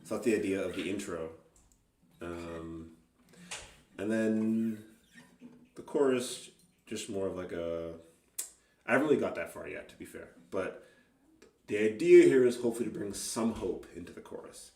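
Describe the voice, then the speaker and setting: Low-voiced man rambling in a roomy space. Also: lively intonation, moderate pace, pauses often, darkish tone. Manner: rambling, hesitant, self-deprecating. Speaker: amateur singer-songwriter. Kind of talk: casual unscripted music vlog. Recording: roomy, distant mic, faint guitar.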